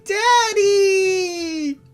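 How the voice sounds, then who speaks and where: Small girl, played by an adult narrator: very high, childlike, innocent and pleading, for a story reading over music. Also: very slow, heavily acted. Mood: excited. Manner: childlike, squealing, loud.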